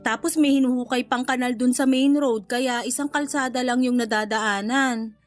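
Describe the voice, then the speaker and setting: Dutiful young Filipino daughter for radio drama, played by a woman: extremely high pitch, earnest, protesting. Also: fairly even intonation, normal speed, natural voice. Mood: polite, curious. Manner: respectful, earnest, readily protesting. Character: polite but indignant young adult daughter. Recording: music behind the voice.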